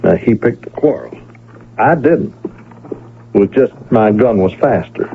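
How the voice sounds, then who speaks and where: An actor playing a reluctant gunfighter in a radio western, plain-spoken and earnest, with a cowboy drawl from the American West. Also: male voice, on the low side, animated, a little slow, vocal fry. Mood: regretful. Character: weary reluctant gunfighter cowboy turned peddler, calm and protective. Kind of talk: 1970s radio western acting. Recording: noisy, tinny old radio broadcast.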